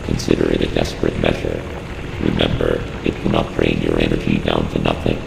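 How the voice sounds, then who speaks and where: A man's voice: rumbling low, completely flat delivery, full character voice. A man voicing a cold, clinical computer AI advisor in a video game, giving formal, impassive briefings with synthetic robotic processing. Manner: measured, impassive, formal, authoritative. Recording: echoey long reverb, clear background noise.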